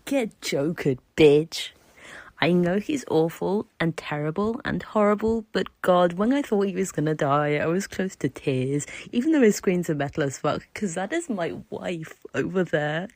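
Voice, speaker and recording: A woman's voice: on the low side, a little mellow, no echo. A woman leaving a casual listener voice message, irreverent and tongue-in-cheek.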